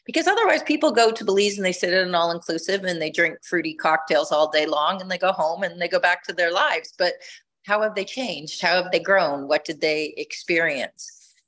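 Reflective middle-aged woman, low voice. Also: brisk, a bit bright. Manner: reflective, restarts phrases while thinking. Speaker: podcast guest, retreat leader. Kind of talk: conversational storytelling interview.

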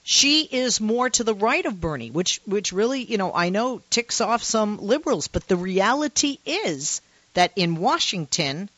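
A woman with a low voice for a woman, hosting a call-in talk radio show in a passionate, emphatic, conversational way. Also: sing-song, a little slow, a bit bright, dry recording. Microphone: studio microphone. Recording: muffled radio broadcast, dry.

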